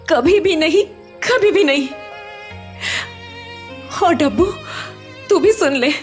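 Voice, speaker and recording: A woman playing an anxious, loving young mother in an audio horror drama, speaking hesitantly and repeating her words with emotion. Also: extremely high pitch. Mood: tender, resolute.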